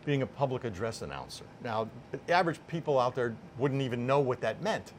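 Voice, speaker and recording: Mature man, medium pitch, emphatic deliberate phrasing, conversational interview guest, ambient noise behind. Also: animated. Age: 50s or older. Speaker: sports public address announcer, interview guest. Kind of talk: casual sit-down interview.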